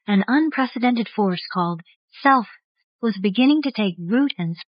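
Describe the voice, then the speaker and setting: A woman's voice: medium pitch, no echo, highs cut off. Scripted female narrator, dry studio sound. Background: faint music.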